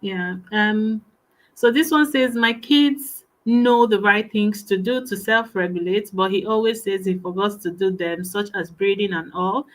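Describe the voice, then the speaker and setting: A woman hosting, soft-spoken and agreeable, reading out audience questions in a casual chat. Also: medium pitch, poor audio. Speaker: interview host.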